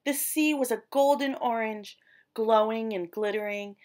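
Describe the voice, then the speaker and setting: High-voiced woman reading a children's story slowly. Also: a little mellow. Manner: deliberate, dramatic emphasis on descriptive words. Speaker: read-aloud storyteller. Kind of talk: expressive children's story narration.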